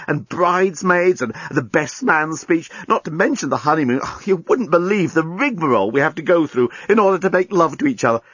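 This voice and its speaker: A man's voice: a little high, husky, no echo, dull audio, voice pushed up. A man voicing an amorous, single-minded male alien journalist in a comic audiobook, gushing and pleading. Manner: gushing, wheedling.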